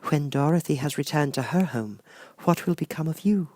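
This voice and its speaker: A man voicing a kindly young good witch in a storybook, gentle, gracious and measured. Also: a little high, animated, no room sound, pitched above the performer's own voice. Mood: kindly, assured.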